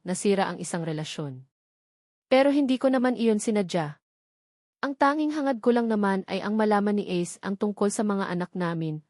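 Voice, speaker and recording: A woman's voice: mid-range voice, a little mellow, no room sound, studio quality. A woman reading a scripted first-person love story as a dramatic narrator.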